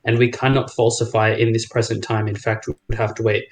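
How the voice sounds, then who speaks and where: A man's voice: lowish voice, level tone, fast talker. A man in an online voice chat, carefully restating the argument with hesitations and restarts. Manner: hesitant, clarifying, many restarts. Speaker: chat room participant.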